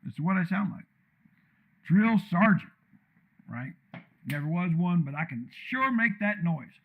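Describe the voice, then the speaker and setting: A man with a deep, commanding voice that sits somewhat high rants bluntly and loudly, wandering from point to point. He sounds like a casual live-streamer talking off the cuff. The sound is thin and tinny, like a phone line. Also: lively intonation, a little slow. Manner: blunt, loud, rambling. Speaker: streamer tuning his microphone. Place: untreated room with echo and a computer fan.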